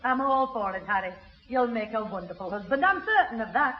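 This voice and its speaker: A woman's voice: fairly high pitch, lively intonation, brisk, natural voice. An actress playing a kindly, sensible middle-aged mother, earnest and firm, in a 1960s radio sitcom. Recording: muffled, faintly hissy 1960s radio recording.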